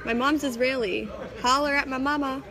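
A young woman chatting casually on a phone livestream, laid-back and teasing, laughing often. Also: sits high. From Toronto, Canada. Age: young adult. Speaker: livestream co-host, wrestler. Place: small indoor room. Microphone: phone camera held close.

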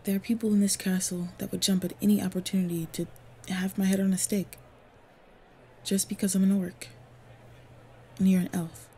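Mellow, devoted orc warrior girlfriend, played by a woman. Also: mid-range voice, little pitch movement, moderate pace, long pauses. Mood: worried, hesitant. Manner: soft, earnest, intimate, often breathy. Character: devoted, protective female orc warrior lover. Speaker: roleplay audio voice actor. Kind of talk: intimate roleplay audio acting. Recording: clear background ambient noise. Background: ambience sound effects.

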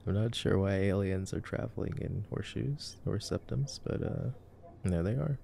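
A man playing a nerdy, affectionate boyfriend in an ASMR sleep-aid roleplay, soft-spoken, teasing and rambling as he comments on a movie. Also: on the low side, unhurried, vocal fry, a little hiss or hum. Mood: wry, amused.

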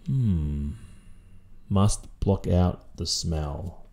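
Guy playing a tired aussie student boyfriend in an audio roleplay, creaky low voice, stressed but sweet, mumbles to himself. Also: animated, very slow, a little mellow, full character voice. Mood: distracted, weary. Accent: Australian. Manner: drowsy, muttering to himself with sighs and hums. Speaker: roleplay voice performer.

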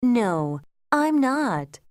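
Woman as a high-voiced concerned cartoon mother. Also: very animated, very slow, exaggerated voice. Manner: careful, clearly enunciated short phrases. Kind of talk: slow children's language-lesson cartoon acting.

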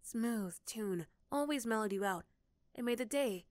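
A woman's voice: on the high side, animated, unhurried, dry recording. A young woman narrating a second-person ASMR story as its narrator, close to the microphone. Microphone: close, dry microphone.

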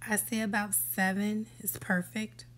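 A woman hosting a screen-recorded app tutorial, giving relaxed step-by-step instructions that sometimes drop to a whisper. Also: mid-range voice, unhurried. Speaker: tutorial host. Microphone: voice-over for a screen recording.

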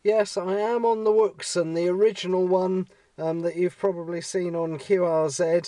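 A man's voice: high voice, little pitch movement, normal speed, mellow, no echo. An easygoing, chatty man making casual amateur radio contact as an operator. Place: in and beside a parked car outdoors.

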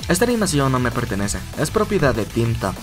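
Brief: male storytime narrator, mid-range voice, very animated intonation, music underneath. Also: medium pitch, a little fast. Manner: expressive.

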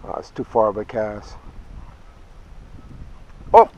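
A man's voice: lowish voice, big pitch swings, takes it slowly, darkish tone, dull audio. A man talking casually as he films himself fishing from a small boat. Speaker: angler filming a fishing trip. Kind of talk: casual vlog chat while fishing. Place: small open boat on a waterway, outdoors. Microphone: chest or head action camera worn by speaker. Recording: outdoor action camera, clear background noise.

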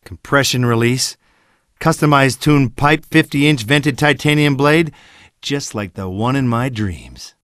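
A man playing a gruff, cocky, wisecracking monster hunter in an action-comedy video game, deadpan and swaggering. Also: medium pitch, unhurried, dry recording, studio quality, natural voice. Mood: admiring.

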